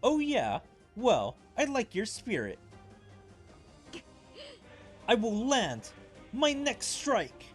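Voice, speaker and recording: A man voicing a spirited, eager sword fighter from an anime game in an excitable, earnest manner. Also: sits high, takes it slowly, soft background music, voice pushed up, full character voice. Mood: fired up.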